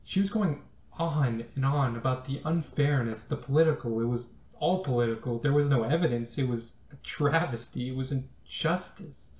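A man's voice: medium pitch, normal speed, darkish tone, lo-fi sound. Male, 20s, student actor in an aggrieved dramatic monologue, roomy bedroom recording. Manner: accusatory, aggrieved. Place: small bedroom, indoors. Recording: roomy, echoing bedroom sound.

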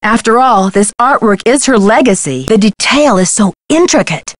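A young woman voice actor playing a plucky, sharp-witted teenage girl detective in a video game, articulate and inquisitive. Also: on the high side, expressive, moderate pace, no room sound, studio quality, natural voice. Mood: sympathetic, curious. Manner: crisp, articulate, spirited, inquisitive.

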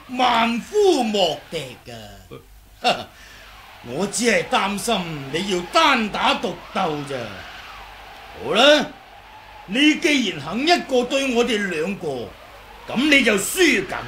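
An actor playing a boastful, wily, comical old martial artist in a Hong Kong Cantonese radio drama, chattering and teasing in drawn-out phrases. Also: male voice, sits high, sharp tone, a little hiss or hum, full character voice. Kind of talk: 1980s radio drama acting.

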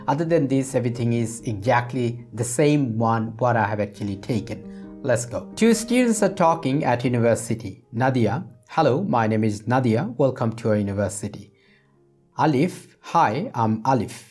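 A man's voice: mid-range voice, expressive, normal speed, a little mellow, faint music behind. Man teaching English. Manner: repeats phrases for emphasis. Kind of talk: explanatory spoken English lesson. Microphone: lapel microphone.

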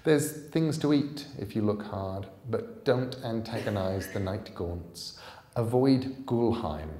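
A man's voice: lowish voice, animated, takes it slowly, mellow. A self-possessed boy hero from a storybook, read by a grown man, speaks coolly and matter-of-factly, defiant under threat. The sound rings with the echo of a hall. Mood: cool, warning. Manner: matter-of-fact, cool. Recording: echoey hall, public reading.

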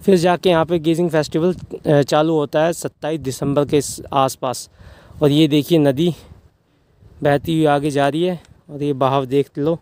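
A man's voice: a little high, steady tone, moderate pace, a little mellow. A man in his twenties from Haryana, India, talking casually to camera as a hitchhiking travel vlogger. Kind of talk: casual vlog narration to camera. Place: outdoors on bridge and highway, later in a car. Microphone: phone or camera at arm's length. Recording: noisy outdoor roadside and vehicle noise.

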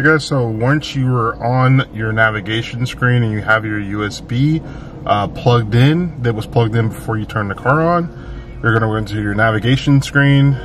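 A man hosting a car channel, talking casually step by step through a tutorial with lots of fillers, recorded inside a parked car. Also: medium pitch. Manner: casual, repeats steps, many fillers.

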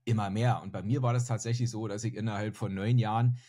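A man's voice: on the low side, fairly even intonation, fast, mellow. A man in his forties from northern Germany, a podcast guest chatting casually and self-deprecatingly with lots of fillers, recorded over a video call with headphones on. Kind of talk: casual interview talk. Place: home room, indoors. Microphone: headset on video call.

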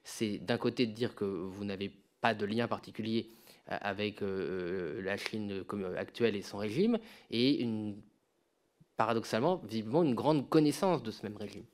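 A young man chairing a parliamentary hearing, asking a witness long questions into a desk microphone in a large committee room, pausing on many fillers. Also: lowish voice, darkish tone. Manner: searching for words, many fillers. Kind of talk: formal questioning.

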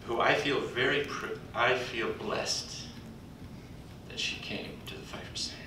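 An older man speaking at a podium in a large conference hall, introducing a colleague in a folksy, halting way with pauses. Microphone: podium mic, recorded from afar by a computer. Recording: distant echoey hall recording with background rumble.

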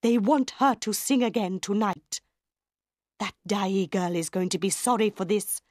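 A woman's voice: a little high, sing-song, slow pace, dry recording, studio quality, voice pushed up. A woman playing a haughty opera diva. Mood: indignant. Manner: raised, haughty and indignant.

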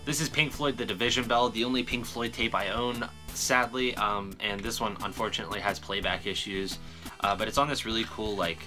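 A man's voice: lowish voice, steady tone, a little fast, over music. A young man talking casually to camera in a show-and-tell style, informal and given to swearing. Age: young adult. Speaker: vlogger showing a music collection. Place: small room at home, indoors.

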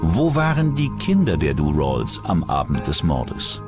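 A man's voice: a bass voice, wildly swooping pitch, unhurried, music in the background. A man reading scripted true-crime documentary narration as the narrator.

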